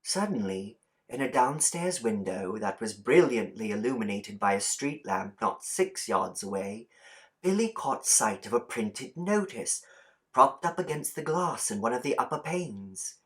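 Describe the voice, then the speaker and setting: A man's voice: mid-range voice, very animated, unhurried, a bit bright. Man narrating an audiobook story expressively. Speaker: audiobook reader.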